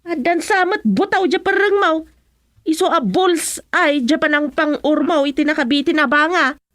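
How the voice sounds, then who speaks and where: Sceptical village woman, high voice, Ilocano accent, comic radio serial. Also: normal speed, fairly bright tone, no room sound. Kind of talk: radio drama comedy acting.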